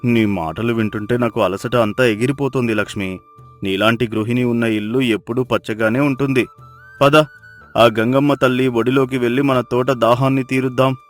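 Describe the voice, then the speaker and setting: Devoted village husband, played by a man, medium-pitched, earnest and tender, storybook drama with faint music. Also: fairly even intonation, moderate pace, natural voice. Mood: admiring.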